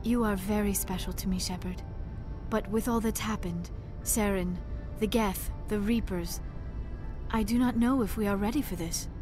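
A woman's voice: mid-range voice, moderate pace, close to an everyday voice. Actress as young female alien scholar, sci-fi game, earnest, reserved, formal precise wording, music underneath. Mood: tender, resigned. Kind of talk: video game dialogue acting.

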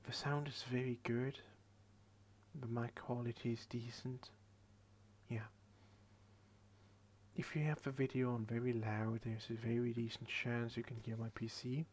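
A very tired young man rambles in a soft, drowsy half-whisper, drawing his words out at a sluggish crawl. He speaks close to a headset microphone at his computer desk at home. A faint hiss sits under his voice, and his pitch stays in an ordinary middle range. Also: level tone, a little slow, long pauses. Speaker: channel host. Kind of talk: late-night ASMR rambling.

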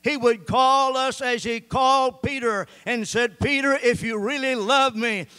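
Older man preaching, smooth high voice. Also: measured pace. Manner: preaching with Bible readings, animated gestures. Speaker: pastor. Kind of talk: sermon. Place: church stage with band gear, indoors. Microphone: handheld mic.

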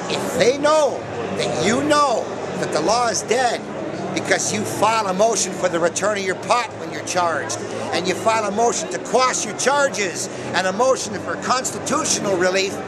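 High-voiced man speaking over a loud crowd. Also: lively intonation, moderate pace. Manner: storytelling, acting out quoted officials in raised voices. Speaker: speaker at an expo. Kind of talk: animated public speech. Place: outdoor expo. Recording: loud crowd and background noise outdoors.

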